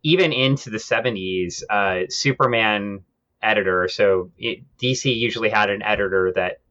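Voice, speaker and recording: A man's voice: fairly low pitch, normal speed, bright, forceful tone, lo-fi sound. A man co-hosting a podcast, explaining things in a casual, conversational way. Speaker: podcast host. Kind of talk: casual discussion of comic book history.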